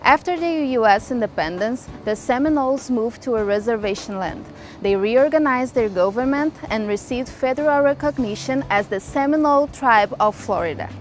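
A woman's voice: fairly high pitch, lively intonation, moderate pace, poor audio. Young woman from Brazil narrating history. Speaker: student reporter. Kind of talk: scripted narration.

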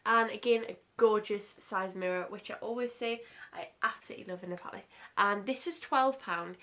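A young woman in her twenties with a husky voice, chatting casually through a makeup tutorial in a small bedroom, gushing over products. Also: mid-range voice, a bit bright. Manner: chatty and gushing, heavy use of "like". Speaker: beauty vlogger.

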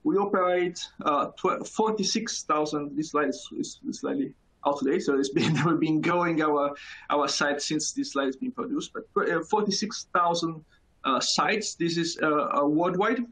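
Man, Neapolitan Italian accent, thirties, halting presentation full of "uh", raised pitch, webcam from a small room. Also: a bit bright, poor audio. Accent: Italian, from Naples. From Italy. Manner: halting, many fillers and repeated words. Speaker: conference speaker. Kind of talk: presentation over video call. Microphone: video call webcam.

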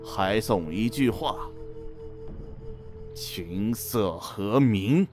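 Man acting a cold general, low and slow. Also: expressive, over music. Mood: taunting, bitter. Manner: commanding, taunting. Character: cold, menacing male general of ancient China. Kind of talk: costume radio drama acting.

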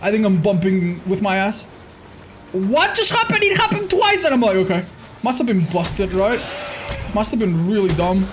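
A man in his thirties doing excitable live gameplay commentary for a chat, exclaiming and repeating phrases for emphasis. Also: high voice, moderate pace, slight background noise. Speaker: video game streamer. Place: indoor streaming room.

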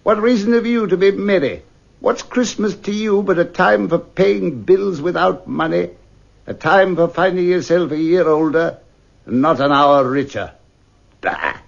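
A man's voice: high-pitched, measured pace, creaky, pitched above the performer's own voice, full character voice. A man voicing a cold, miserly old man with a grating voice who snaps curtly at his nephew. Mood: scornful. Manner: curt, snapping, scornful. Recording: muffled lo-fi recording.

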